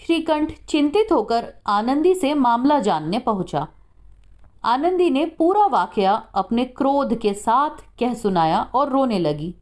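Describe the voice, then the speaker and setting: Unhurried woman storyteller with a lively pitch. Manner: measured, expressive storytelling. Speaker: storyteller, narrator. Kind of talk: scripted short story narration.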